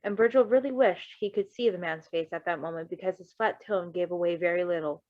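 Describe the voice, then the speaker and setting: A woman reading fan fiction aloud in an expressive way, breaking off into casual, sweary asides. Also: lowish voice, fairly quick. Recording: dull lo-fi microphone.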